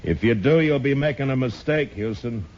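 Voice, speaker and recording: A man playing a stern, watchful marshal of the Old West in a 1950s radio western, speaking in a measured, blunt, authoritative way. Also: animated, a little mellow, natural voice. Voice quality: deep, firm. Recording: dull, hissy 1950s radio recording.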